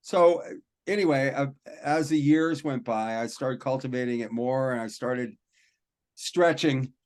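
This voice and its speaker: A man, a rock and metal singer, telling stories from his life in a relaxed, rambling, self-deprecating way during a podcast interview. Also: medium pitch, unhurried. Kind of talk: casual interview storytelling.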